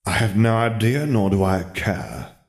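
A man voicing a cold, distant wizard who speaks slowly and dismissively. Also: lowish voice, very animated, vocal fry, full character voice. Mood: detached. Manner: slow, dismissive. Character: cold, distant older wizard living in a mind.

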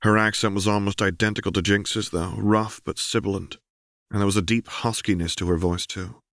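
Male fiction narrator: very low register, dry booth sound, scripted storytelling. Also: steady tone, moderate pace, fairly bright tone, dry recording. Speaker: audiobook narrator.

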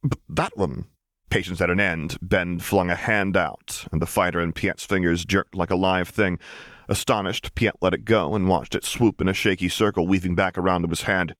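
A man's voice: sits low, fairly even intonation, moderate pace, fairly bright tone, no echo, studio quality. A man reading a story aloud as scripted audiobook narration. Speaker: audiobook reader.

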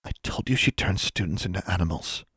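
A man's voice: low voice, animated, fairly quick, a little mellow, slightly rough, no echo, exaggerated voice. A man voicing a headstrong, sullen young schoolgirl witch in a story, defensive and prone to muttering.

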